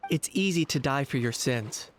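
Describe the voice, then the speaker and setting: An actor playing a pragmatic, reserved young warrior in an anime video game, level-headed and matter-of-fact. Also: male voice, mid-range voice, animated, normal speed, a little hiss or hum, lightly acted. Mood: reflective, serious.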